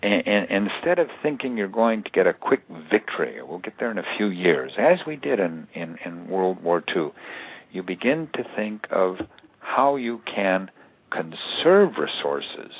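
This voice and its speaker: An older American man giving considered, analytical interview answers in a halting, deliberate way, repeating words for emphasis. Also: low voice, very animated. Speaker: interview guest, author and security analyst. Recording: narrow tinny phone line.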